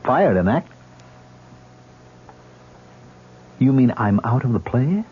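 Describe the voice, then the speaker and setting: A man's voice: mid-range voice, sing-song, normal speed, husky. An actor playing a troubled male stage actor, guarded and defensive, then anguished and bitter, in a 1970s radio drama. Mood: distraught. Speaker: patient. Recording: tinny lo-fi radio broadcast with faint hiss.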